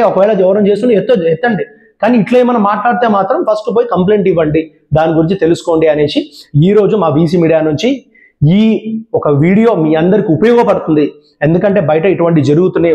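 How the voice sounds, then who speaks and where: High-voiced man, echoey room. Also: dark tone, muffled recording. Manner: earnest, repetitive warnings addressed straight to viewers. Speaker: channel presenter. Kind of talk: public awareness talk to viewers. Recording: roomy echo.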